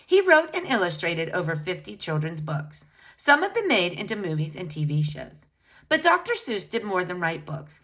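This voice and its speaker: A woman's voice: on the low side, very animated, moderate pace, fairly bright tone. A woman reading a children's biography book aloud, speaking to children in a teacherly way with pointed emphasis. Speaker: read-aloud storyteller for children.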